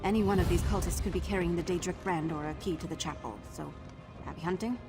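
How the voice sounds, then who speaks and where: An actress playing a wry, morbidly curious young investigator in a video game, with a dry, understated and slightly awkward manner. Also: female voice, fairly low pitch, fast, music in the background, lightly acted. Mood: intent, matter-of-fact.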